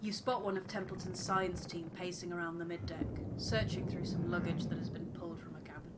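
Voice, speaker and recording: A woman's voice: fairly low pitch, little pitch movement, a little fast. A woman playing a story game, chatting casually and reading narration aloud. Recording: clear background noise.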